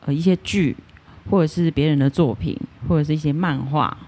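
A woman with a soft-edged, breathy voice talks casually in an interview. She is a guest who creates audio content and mixes English words into her speech. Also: low-pitched, fairly quick.

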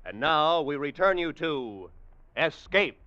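A man's voice: fairly high pitch, sing-song, slow pace, sharp tone, dry recording. A man reading a scripted, upbeat promotion as a 1950s radio network announcer, with polished delivery. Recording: slightly muffled 1950 radio recording.

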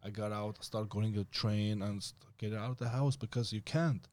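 Male guest, low-ish, loose energetic talk, dry sound. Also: normal speed, no echo. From Armenia. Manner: broken English, energetic, says bro and like. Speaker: guest, martial artist. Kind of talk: casual conversation.

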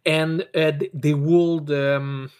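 A casual podcast host with a slow, halting delivery. Words get repeated and stammered as the host enthuses, with emphatic, excitable swearing thrown in. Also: mid-range voice, clean tone. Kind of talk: casual chat, enthusiastic review.

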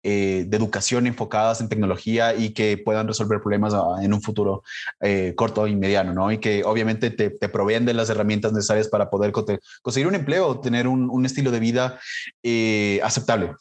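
Relaxed Latin American man, guest. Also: on the low side, brisk. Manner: relaxed, many fillers and restarts. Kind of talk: casual interview talk.

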